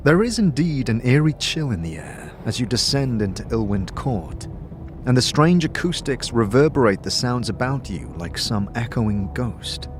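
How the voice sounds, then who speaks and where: A man reading scripted second-person fantasy narration in a measured, descriptive storytelling way. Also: medium pitch, very animated, background noise. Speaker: narrator, game master.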